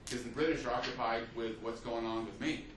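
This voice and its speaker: A man's voice: medium pitch, fairly even intonation, fast talker, slight background noise. A man giving an unscripted history lecture as a teacher, telling it like a story, with many fillers and restarts. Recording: echoey room, mic at a distance.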